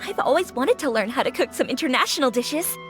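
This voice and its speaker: A woman's voice: high voice, expressive, quick pace, fairly bright tone. A woman voicing an upbeat, eager young restaurant owner who chats enthusiastically in a game cutscene. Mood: excited. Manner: enthusiastic and chatty. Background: game music.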